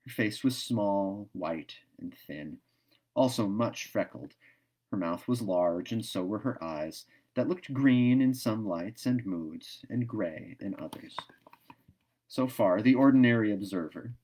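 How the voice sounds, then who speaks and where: A man's voice: fairly low pitch, expressive, slow, a little mellow. A man narrating a classic novel as a storyteller reading aloud.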